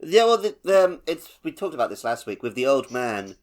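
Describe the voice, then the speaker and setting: A man's voice: mid-range voice, big pitch swings, brisk. A man co-hosting a podcast, chatting informally about a game. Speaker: podcast co-host. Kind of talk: casual game discussion podcast.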